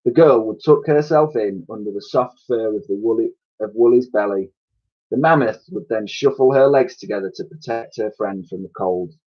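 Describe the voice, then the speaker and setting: A man's voice: fairly low pitch, moderate pace. A man reading a children's story aloud with expression, on a dull home recording. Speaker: story reader.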